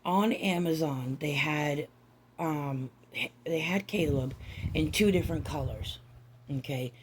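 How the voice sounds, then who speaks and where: A woman chatting softly in a home vlog, her voice raspy and hoarse from a raw throat, halting with many ums and restarts. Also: low-pitched, a little hiss or hum. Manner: soft, hushed, near-whispering. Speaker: doll-collecting vlogger. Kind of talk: casual vlog chat.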